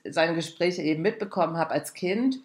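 A woman's voice: on the low side, moderate pace, darkish tone. A woman from Frankfurt speaking reflectively in an interview, searching for words in long, loose sentences. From Frankfurt, Germany. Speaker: artist, interviewee. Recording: roomy echo around the voice.

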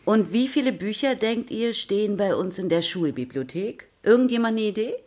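A woman playing a patient, encouraging primary school teacher in a German children's radio play, with a husky voice and a questioning, instructive way of addressing her class. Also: medium pitch, expressive, normal speed, a little mellow, close to an everyday voice. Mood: inquiring, instructive.

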